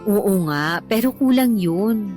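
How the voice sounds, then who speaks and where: A woman's voice: medium pitch, animated, slow, darkish tone. An actress playing a defensive, easily irritated live-in girlfriend in a Tagalog radio drama, whining and argumentative. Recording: dramatic music bed behind the voice.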